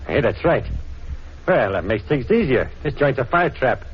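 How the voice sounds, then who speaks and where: A man's voice: mid-range voice, very animated, normal speed, slightly rough. A man playing a smug, menacing gangster with a tough, slangy, taunting delivery in a 1940s radio drama. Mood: gloating, hurried. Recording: dull, noisy 1940s radio recording.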